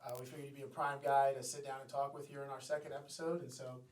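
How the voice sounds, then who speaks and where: A man co-hosting a casual sports talk show, chatty and conversational, with quick asides and lots of "like" and "you know". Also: medium pitch, level tone, darkish tone. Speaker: podcast co-host.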